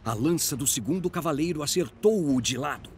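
Animated, higher-pitched Brazilian male storyteller. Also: normal speed, slight background noise. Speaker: narrator. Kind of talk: dramatic scripted fantasy narration. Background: music.

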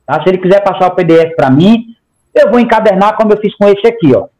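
Emphatic man with a high voice on a headset. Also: expressive, quick pace, dark tone, dull audio. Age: 40s. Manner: emphatic, gestures a lot, talks in long runs with repeated "tá?". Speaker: interview guest. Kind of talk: animated video-call interview. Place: home room. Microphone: headset on a video call.

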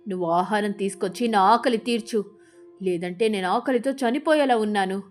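A woman voicing a lazy, demanding male eagle with an emphatic, entitled manner in an animated storybook. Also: medium pitch, normal speed, faint music behind, natural voice. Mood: demanding, hungry.

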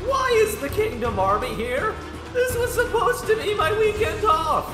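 A man's voice: extremely high pitch, big pitch swings, moderate pace. A man voicing a put-upon, reluctant knight in a whining, melodramatic way for a comedy dub. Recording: echoey voice with music.

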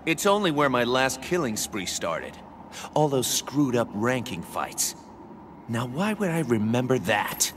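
A man's voice: mid-range voice, sing-song, measured pace. An actor playing a cocky, foul-mouthed young male assassin in a video game, sarcastic and brash. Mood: mocking, flippant. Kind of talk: video game cutscene acting. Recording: clear background ambience noise.

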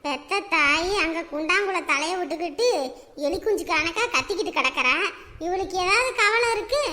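Woman acting a high-pitched grumbling elder sister. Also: lively intonation, normal speed, bright, forceful tone, big-room sound, full character voice. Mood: exasperated grumbling. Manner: scolding, lamenting. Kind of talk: comic family skit acting.

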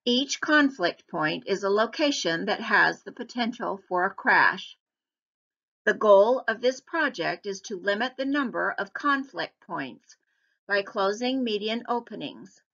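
A woman giving scripted narration for a public hearing slideshow in an even, measured reading. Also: mid-range voice, creaky, dull audio.

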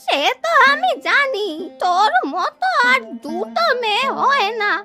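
A woman's voice: very high voice, big pitch swings, unhurried, music in the background, full character voice. A woman voicing an emotional, grateful old village grandmother in a cartoon, with a thin wavering voice. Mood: affectionate, moved. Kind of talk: Bengali cartoon voice acting.

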